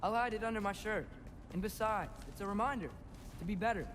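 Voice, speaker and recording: High-pitched earnest teenage boy. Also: fairly quick, a bit bright, a little hiss or hum. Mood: earnest, stubborn. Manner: earnest, stubborn, self-justifying. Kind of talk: video game voice acting. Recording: music behind the voice.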